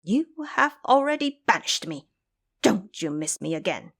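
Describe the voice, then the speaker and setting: A woman's voice: lowish voice, big pitch swings, measured pace, fairly bright tone, breathy edge, exaggerated voice. A woman voicing a proud, free-spirited male monkey king in an audio drama, boastful and playful. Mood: proud, defiant.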